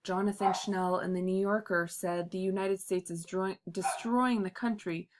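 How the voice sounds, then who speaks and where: A woman giving a class history presentation, halting often with ums and uhs. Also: mid-range voice, fairly even intonation, noisy background. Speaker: student presenter.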